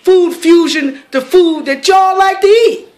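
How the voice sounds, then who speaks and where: A woman's voice: very high voice, measured pace, darkish tone. A woman in her thirties from Los Angeles, captaining a cooking team with playful, boastful trash talk into a phone camera at home. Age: 30s. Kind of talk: casual audition pitch. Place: small home kitchen and living room. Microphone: handheld phone camera.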